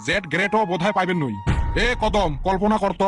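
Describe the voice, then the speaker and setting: A man's voice: high-pitched, a little fast, lightly acted. A man voicing an exasperated village schoolteacher in a comedy cartoon, scolding and pleading with his unruly pupils. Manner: theatrical scolding, wheedling, lamenting. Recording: loud music under the voice. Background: music.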